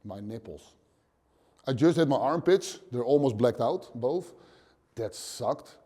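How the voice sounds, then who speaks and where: A Dutch man in his thirties, a gym owner answering interview questions bluntly in short, halting phrases, seated in a dim gym. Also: mid-range voice, lively intonation, warm tone, husky. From Netherlands. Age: 30s.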